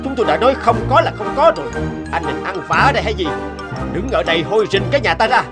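A man's voice: sits high, animated, quick pace, over loud music. A man playing an irritable, scolding, stingy head of a household in a fairy-tale cartoon. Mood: irritated. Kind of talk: animated fairy-tale dubbing.

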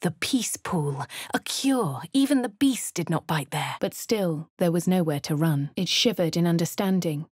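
A woman's voice: lowish voice, expressive, moderate pace, few pauses, a little mellow, slightly rough, no echo, studio quality. Posh, wry female adventurer. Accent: posh English. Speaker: player character. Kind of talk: game voice lines and narration. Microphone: close studio mic, dry.

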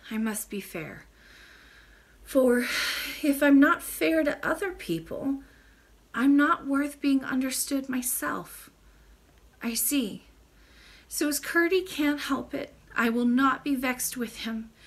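A woman's voice: high-pitched, animated, takes it slowly, pitched above the performer's own voice, heavily acted. A woman voicing an earnest, polite little princess in a storybook, eager, curious and trusting.

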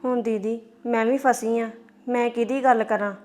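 An actress with a Punjabi accent playing a young sister-in-law stuck in a family quarrel. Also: female voice, on the high side, fairly even intonation, normal speed, darkish tone, natural voice. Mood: pleading. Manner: pleading, placating.

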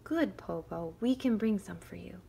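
A woman voicing a clever, quick-witted eldest girl in a fairy tale, eager and lively. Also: medium pitch, creaky, a little hiss or hum. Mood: sweetly cunning.

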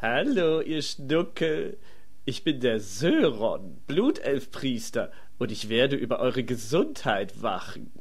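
Casting: coquettish male elf healer, acted by a man, lilting swoops, mid pitch, comic fantasy audio play. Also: very animated, husky, full character voice. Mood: flirty, sweet. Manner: camp, coquettish, drawn-out. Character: flirtatious, camp male blood elf priest. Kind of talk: comedy fantasy audio drama.

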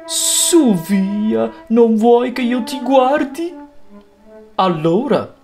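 An actor voicing a sly, fawning cartoon snake villain with a wheedling, drawn-out delivery, in an old film dub. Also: male voice, very high-pitched, expressive, a little mellow, soft background music, exaggerated voice. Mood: coaxing, slippery.

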